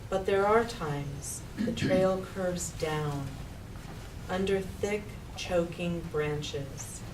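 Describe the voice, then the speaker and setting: A woman with a slightly low voice recites her poem in short, deliberate phrases at a very slow pace. She sounds distant, with clear noise in the room. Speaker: poet reading own poem. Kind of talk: poetry recitation at a live reading. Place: public library reading room. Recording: echoey room, distant mic, noisy background.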